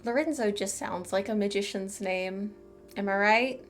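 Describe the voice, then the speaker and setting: A woman's voice: mid-range voice, moderate pace, faint music behind. A woman giving casual, playful, quippy commentary while playing through a game. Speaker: game streamer.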